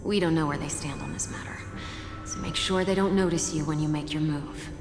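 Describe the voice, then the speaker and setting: A woman's voice: sits low, fairly even intonation, fairly quick, close to an everyday voice. An actress voicing a cocky, upbeat woman thief who talks in a breezy, confident way. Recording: echoey game cutscene with music.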